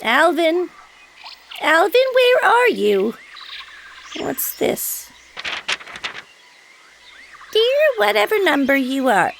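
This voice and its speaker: A woman's voice: very high-pitched, very animated, very slow, vocal fry, long pauses, a bit bright, a little hiss or hum, exaggerated voice. A woman playing a meek, dutiful junior spaceship crew member in an audio drama, hesitant and deferential. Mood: searching, dismayed. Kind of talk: scripted audio drama acting.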